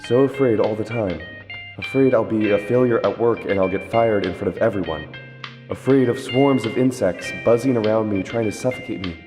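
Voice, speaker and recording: A man's voice: fairly low pitch, brisk, natural voice. A man playing a husband's anxious private thoughts, fretful and repetitive, in a radio play. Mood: afraid. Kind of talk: radio drama acting. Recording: reverberant, clear music underneath.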